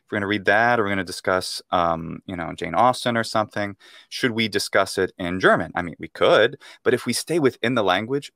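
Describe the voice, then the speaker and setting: A man's voice: fairly low pitch, a bit bright, no room sound, clean studio sound. A young American man, a Latin teacher, explaining at length in a casual interview over a video call, with many fillers and restarts. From United States. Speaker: guest, Latin speaker and teacher. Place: room at home. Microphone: earphone mic on video call.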